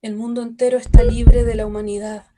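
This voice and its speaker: An actress playing a radio announcer who reports an attack from an emergency station, then narrates calmly. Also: female voice, fairly high pitch, over loud music, lightly acted. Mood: solemn. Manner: measured, solemn reporting. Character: female emergency news announcer, shaken but composed. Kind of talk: radio drama acting.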